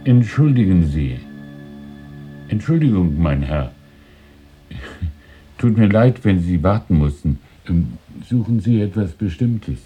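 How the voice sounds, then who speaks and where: Very deep, slow old shopkeeper. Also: male voice, very animated, mellow, breathy edge, highs cut off, faint music behind, heavily acted. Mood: apologetic. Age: older. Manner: halting, polite, confiding, chuckling old-man speech. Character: courteous, wistful old widowed antique shopkeeper. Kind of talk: 1970s German radio drama acting.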